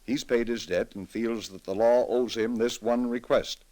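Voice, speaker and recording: An actor playing a grave, reflective middle-aged judge in an old-time radio drama, speaking in a measured, deliberate, dramatic way. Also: male voice, medium pitch, fairly even intonation, a little mellow, natural voice. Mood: solemn, deliberate. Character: grave, reflective male judge with a troubled conscience.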